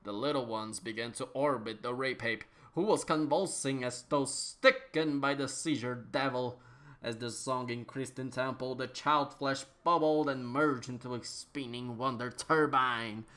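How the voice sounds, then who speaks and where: A man narrating an absurd comic story as a dramatic reading, with theatrical, drawn-out delivery and mock gravity. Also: mid-range voice, fairly bright tone.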